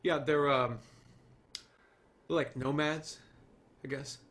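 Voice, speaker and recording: A man playing a dejected, stubborn TV showrunner in a screenplay table read, speaking in a halting, conversational way. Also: fairly high pitch, steady tone, a bit bright. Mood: awkward. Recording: noisy online call audio.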